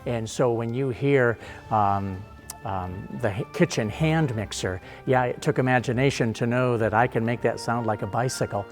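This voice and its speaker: A man who makes radio sound effects, answering an interview in an expressive, wordy way as he searches for phrases. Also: medium pitch, normal speed, soft background music.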